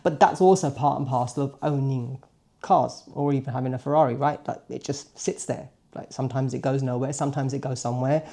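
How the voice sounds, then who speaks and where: A man in his late forties whose first language is Punjabi talks to his viewers in a casual daily vlog. His voice is mellow and relaxed, sitting at a medium pitch. He is chatty and informal, often rounding off a point with a questioning tag. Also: brisk, gravelly. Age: late 40s. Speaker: car vlogger.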